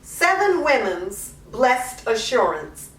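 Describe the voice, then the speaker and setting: A woman's voice: fairly high pitch, sing-song, slow pace, a bit bright. A woman hosting a literary video series, announcing readings and signing off to viewers. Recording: roomy echo, distant microphone.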